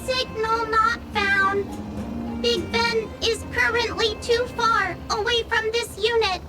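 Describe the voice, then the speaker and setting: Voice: very high-pitched, slow. A performer voicing a small chirpy repair drone in a video game, making stilted status announcements over music. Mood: mechanical, chirpy. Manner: flat system announcements, stilted phrasing.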